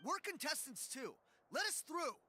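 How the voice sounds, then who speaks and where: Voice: high-pitched, big pitch swings, moderate pace, bright, forceful tone, faint music behind, full character voice. An actor voicing an aggrieved, cocky young warrior in an anime-style video game. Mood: indignant.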